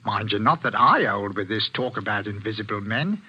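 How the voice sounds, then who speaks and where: An actor playing a superstitious village greengrocer in a radio mystery, with a rustic working-class English accent and a deferential, nervous, stammering manner. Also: male voice, lowish voice, animated, normal speed, pushed and bright, slightly rough, full character voice. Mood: indignant. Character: superstitious, blustering village greengrocer, a male church helper. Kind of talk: 1940s radio mystery acting. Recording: dull, lo-fi vintage radio recording.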